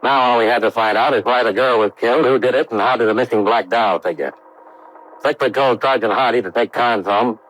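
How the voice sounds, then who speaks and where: An American actor playing a brisk, hard-boiled investigator who narrates his case in clipped, matter-of-fact 1950s radio style. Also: mid-range voice, fairly bright tone, lightly acted, low-quality recording. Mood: brisk, reflective. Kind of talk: 1950s radio detective acting. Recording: vintage radio drama recording.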